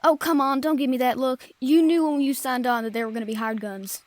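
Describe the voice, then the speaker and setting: A woman's voice: high-pitched, fairly even intonation, fairly quick, no echo, close to an everyday voice. A woman playing a brash, brave, wry treasure hunter in an audio roleplay, bantering informally with the listener. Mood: wry, relieved. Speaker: voice actor.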